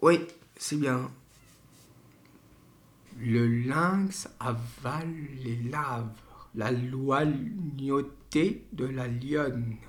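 Guy doing speech therapy drills, super slow n careful, bit hesitant. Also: mid-range voice. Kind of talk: articulation exercises.

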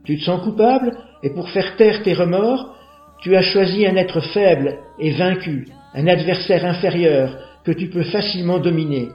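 A man's voice: normal speed, natural voice. A man playing Gino, an accusing young neighbour. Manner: accusing, declamatory moral speech. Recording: echoey, dull low-fi with faint music.

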